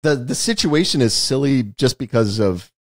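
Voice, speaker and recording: A man with a very animated, swinging delivery, recorded close and dry. He talks like a podcast host analysing a movie in conversation, explaining each point emphatically and often tagging on "right?". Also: mid-range voice, moderate pace, no room sound.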